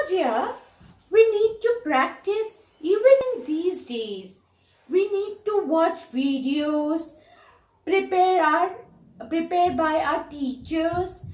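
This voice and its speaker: Lady doing a caring lil puppet girl, super high, slow n teachery, roomy echo. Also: exaggerated voice. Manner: slow, emphatic, coaxing and teacherly. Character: Dora, a caring, instructive young girl puppet. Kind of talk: children's puppet show dialogue. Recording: echoey room.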